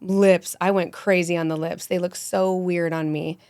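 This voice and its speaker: A woman in her forties, a med spa injector, telling stories animatedly and quickly in a casual podcast chat. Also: on the low side, clean tone, no room sound, clean studio sound. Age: 40s. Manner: animated, rapid storytelling.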